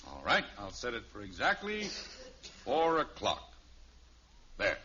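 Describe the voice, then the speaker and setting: Animated, exasperated sitcom dad. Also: male voice, medium pitch, a little slow. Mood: proud, stubborn. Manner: indignant, mock-pompous, wordy. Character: Jim, exasperated, proud middle-class family father. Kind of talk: 1950s radio sitcom acting. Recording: muffled old radio recording, faint hiss.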